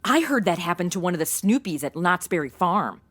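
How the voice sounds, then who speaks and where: A woman's voice: lowish voice, fairly quick. A woman playing a brash, irreverent, flirty modern sidekick in a comedy audio drama, flippant and full of wisecracks. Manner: flippant, sassy, deadpan wisecracks.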